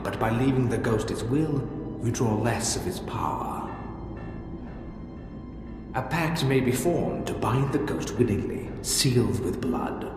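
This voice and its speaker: A man's voice: medium pitch, natural voice. Echoing, solemn ancient Sith spirit played by a man. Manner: formal, measured, ominous. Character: ancient male Sith spirit, solemn keeper of dark secrets. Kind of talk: video game voice acting. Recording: cavernous echo with long reverb, music behind.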